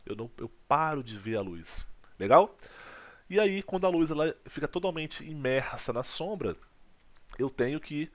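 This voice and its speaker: Man, physics teacher from Brazil, lively, checks in often. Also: mid-range voice. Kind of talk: video lesson.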